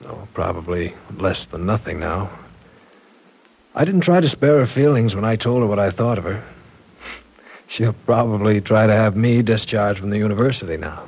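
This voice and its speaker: Earnest, formal male professor, lowish and husky, politely insistent. Vintage radio drama style. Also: lively intonation, moderate pace, darkish tone, exaggerated voice, poor audio. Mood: bitter, sincere. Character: earnest, apologetic male university professor, courteous suitor. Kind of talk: old-time radio drama acting.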